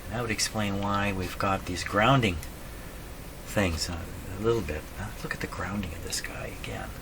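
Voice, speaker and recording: A man thinking aloud in a casual, halting way as he explains a repair at his workbench, often putting questions to himself. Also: on the low side. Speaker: radio repair hobbyist, host. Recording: clearly noisy room recording.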